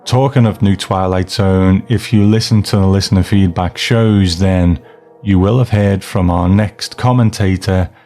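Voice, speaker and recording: A man's voice: low-pitched, steady tone, a little slow, mellow, soft background music. A man hosting a podcast, talking casually and reflectively in a rambling, self-deprecating way. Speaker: podcast host. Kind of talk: casual reflective podcast talk, reading listener mail.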